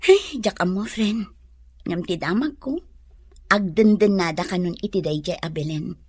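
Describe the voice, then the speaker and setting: A woman's voice: lowish voice, lively intonation, measured pace, a little mellow, husky, no echo. An actress with an Ilocano accent playing a weary but determined young mother, emotional and sighing, in a radio melodrama. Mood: relieved, chatty. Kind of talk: melodramatic Filipino radio drama acting.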